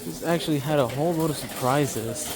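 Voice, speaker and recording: Male hobbyist vlogger, medium pitch, halting casual commentary, handheld recording in a large store with light background noise. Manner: halting, absorbed enthusiast's narration. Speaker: amateur vlogger. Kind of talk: casual walk-through vlog commentary. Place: large home improvement store, indoors. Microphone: handheld phone while walking.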